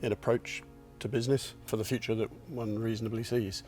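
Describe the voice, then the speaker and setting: A man in his sixties answering interview questions in a reflective, modest way, pausing and restarting as he thinks. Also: lowish voice, flat delivery, a little mellow, soft background music. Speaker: company founder and chairman.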